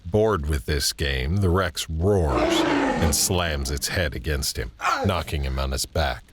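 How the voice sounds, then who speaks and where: A man narrating a scripted audio drama in a measured, descriptive way. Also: very deep voice. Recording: background ambience and noise.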